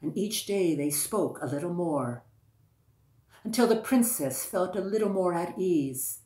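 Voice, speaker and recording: An echoey room carries a woman's oral storytelling. She tells a folktale very slowly and deliberately in a low voice, circling back over phrases for emphasis. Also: lively intonation, mellow. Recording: echoey, roomy sound.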